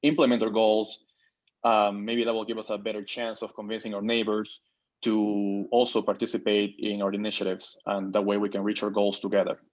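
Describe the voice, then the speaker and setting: A man's voice: lowish voice, flat delivery, brisk, muffled recording. A man in his thirties, from Peru, introducing himself at a board meeting on a video call, with many fillers and restarts. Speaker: board member. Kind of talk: virtual meeting remarks.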